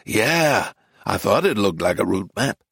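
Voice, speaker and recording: A man's voice: on the low side, very animated, measured pace, gravelly, fairly bright tone, breathy edge, no room sound, studio quality, heavily acted. A man voicing an amiable, literal-minded American male tourist in an audiobook, questioning and puzzled. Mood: amused. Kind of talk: acted audiobook dialogue.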